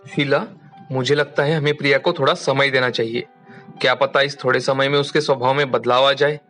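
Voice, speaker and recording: A man voicing a wealthy, earnest merchant father in a formal, deliberate way for an animated moral story. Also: mid-range voice, faint music behind, lightly acted. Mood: worried. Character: wealthy merchant father, earnest and concerned about his daughter.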